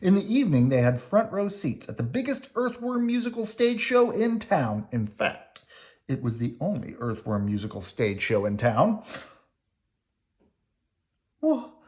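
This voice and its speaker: Low-voiced woman reading a children's storybook. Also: sing-song, moderate pace, darkish tone. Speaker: storybook reader. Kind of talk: expressive children's read-aloud narration.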